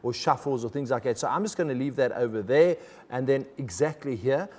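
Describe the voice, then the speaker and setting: A man's voice: mid-range voice, darkish tone, in a large hall. A man whose first language is German, hosting a TV magic show with joking, chatty patter in a studio. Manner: chatty, jokey, with quick laughs. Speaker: TV magician host. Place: TV studio with audience.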